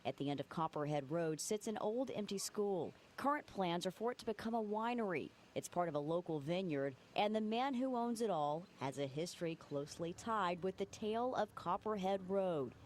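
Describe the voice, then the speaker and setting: A woman's voice: fairly low pitch, animated, normal speed, hardly any pauses, a little mellow, a little hiss or hum. A woman reporter reading scripted narration for a television news feature. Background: music.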